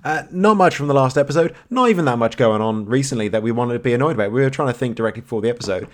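A man's voice: mid-range voice, expressive, rapid-fire. A man co-hosting a podcast in casual chat, with dry teasing humour. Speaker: podcast co-host.

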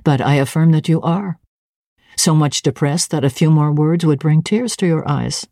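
A woman voicing a brusque, imperious gentleman of the manor who questions and gives orders. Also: sits low, moderate pace, no room sound, clean studio sound. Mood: commanding.

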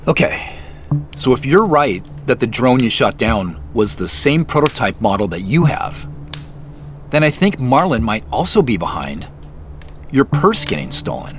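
A man's voice: mid-range voice, very animated, a little slow, a little hiss or hum. An actor playing an uneasy, wry everyman investigator in a naturalistic audio drama, confiding and hesitant, muttering to himself at times. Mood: earnest, explaining. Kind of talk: naturalistic audio drama acting with first-person narration.

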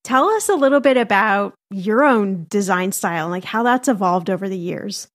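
A woman's voice: medium pitch, animated. A woman hosting an interview, affirming her guest's points and asking follow-up questions in a casual way. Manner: encouraging, quick to affirm the guest. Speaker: podcast host.

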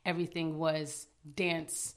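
A woman's voice: sits low, flat delivery, slow. A woman from Canada, a guest choreographer, telling her story in a giggly, nervous, chatty way. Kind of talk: casual interview storytelling.

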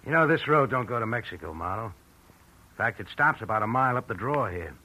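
An actor playing a suspicious, needling tough hired hand with slangy sarcasm, in 1940s radio style on an old recording. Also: male voice, lowish voice, animated, fast, bright, forceful tone, full character voice, rough recording. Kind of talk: hard-boiled 1940s radio acting.

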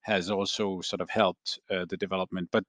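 A man's voice: sits low, little pitch movement, fairly bright tone, no room sound. A Danish analyst on a business podcast, feeling his way through an explanation word by word in a dry-sounding room. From Denmark. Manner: halting, repeats words as he thinks. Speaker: research analyst, interviewee. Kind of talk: explanatory discussion.

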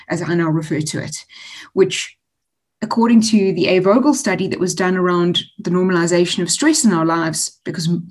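A woman in her forties, a guest author answering interview questions in an articulate, measured way with frequent ums. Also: fairly low pitch, darkish tone, breathy edge. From South Africa.

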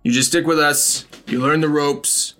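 A man's voice: a little high, steady tone, a little slow, pushed and bright, soft background music, voice pushed up. Man doing a bossy cult raider. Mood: patronizing. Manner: condescending, hesitant restarts.

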